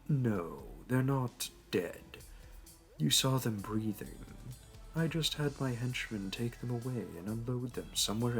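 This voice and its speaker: A man playing a smug, flirty supervillain in an audio roleplay, talking in a teasing, theatrical, unhurried way. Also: lowish voice. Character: smug, flirty male supervillain hosting a hero in his lair. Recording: music behind. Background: background music.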